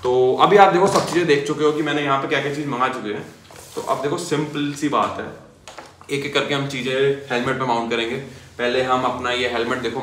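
Bright-voiced young man demoing gear. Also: medium pitch, slight background noise. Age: young adult. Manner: chatty, addresses viewers as bhai and yaar. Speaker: motovlogger. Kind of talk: casual product demo. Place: small indoor room at a desk. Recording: echoey room.

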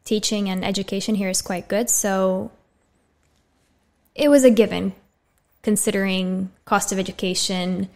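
A woman's voice: medium pitch, unhurried, gravelly. A woman in her twenties with an American accent, chatting as a podcast guest in a small studio on a condenser mic. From USA. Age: 20s. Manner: friendly, relaxed, frequent "so" openers. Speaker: podcast guest, medical student. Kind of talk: casual interview talk. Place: small bright studio room. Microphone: studio condenser mic on boom arm.

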